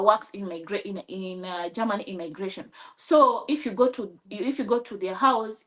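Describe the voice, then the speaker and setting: A woman from Kenya with a Kenyan accent and Swahili as her first language, telling her story as an interview guest, halting with many restarts and acting out quoted dialogue. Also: medium pitch. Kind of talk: personal storytelling in conversation.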